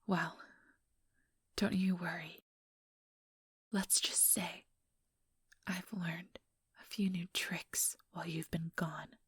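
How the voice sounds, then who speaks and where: A woman's voice: lowish voice, flat delivery, no echo, studio quality, heavily acted. Woman playing a whispering, condescending vampire schemer. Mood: smug. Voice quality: soft, breathy whisper. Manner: slow, taunting, mock-sweet. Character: ambitious, condescending female vampire schemer, coldly menacing. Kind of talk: whispered ASMR role-play. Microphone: close, dry microphone.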